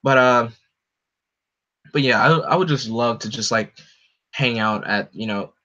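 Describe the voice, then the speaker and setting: A young man with a deep voice hosting a casual livestream, rambling with lots of fillers and answering his chat. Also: unhurried, pushed and bright. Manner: rambling, lots of "like" and "you know". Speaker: livestream host. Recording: dull lo-fi stream audio.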